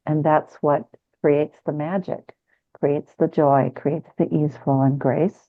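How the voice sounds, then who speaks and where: Low-voiced woman, narrow muffled sound. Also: fairly even intonation, takes it slowly. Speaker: podcast guest; spiritual guide working with clients. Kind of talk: conversational spiritual talk.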